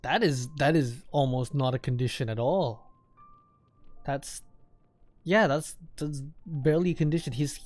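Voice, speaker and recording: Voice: medium pitch, a little mellow. A game streamer giving casual live commentary, muttering and repeating phrases to themselves while playing. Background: game music.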